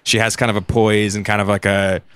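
A man in a casual group discussion, thinking aloud in a halting way and repeating words as he goes. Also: on the low side, little pitch movement, fairly bright tone, clear, resonant tone, no room sound. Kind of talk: casual panel chat.

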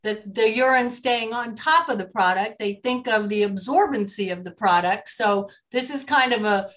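A woman hosts an interview podcast in a middle-pitched voice. She opens with a read sponsor intro and then moves into easy conversation with her guest. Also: moderate pace, a bit bright. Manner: upbeat, scripted intro then chatty with fillers. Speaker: host.

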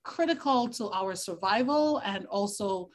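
A woman doctor, giving an interview and eagerly explaining medicine and science, quick to laugh. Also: mid-range voice, expressive. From Tobago, Trinidad and Tobago. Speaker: doctor, virologist and fertility specialist guest.

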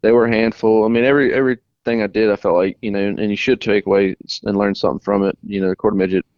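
Man, young adult, racing guest, relaxed halting talk, dry and slightly muffled sound. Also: fairly low pitch, no room sound. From North Carolina. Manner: relaxed, halting, many restarts. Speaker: race car driver guest. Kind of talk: casual interview answers.

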